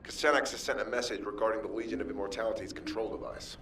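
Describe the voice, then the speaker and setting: Brisk, bright-voiced male subordinate. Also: fairly low pitch, fairly even intonation, echoey room, far from the mic, soft background music. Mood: brisk, dutiful. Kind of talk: game dialogue.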